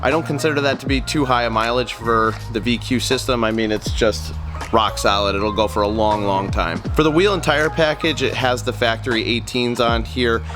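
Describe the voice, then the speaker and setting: A man's voice: medium pitch, normal speed. Man with a nasal, cold-stuffed voice. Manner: easygoing, earnest, with frequent ums and uhs. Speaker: car vlogger. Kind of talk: casual car walkaround vlog. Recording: music playing underneath.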